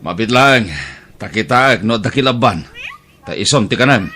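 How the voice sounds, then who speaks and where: A man playing a compassionate, outspoken villager in an audio drama, talking in an expressive, chiding way. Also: lowish voice, moderate pace. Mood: caring.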